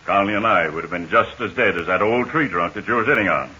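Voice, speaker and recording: An actor playing a steady army major recounting a crash landing, in 1940s radio acting style. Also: male voice, deep voice, brisk. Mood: earnest. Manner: hearty, earnest storyteller. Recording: muffled old radio recording with faint hiss.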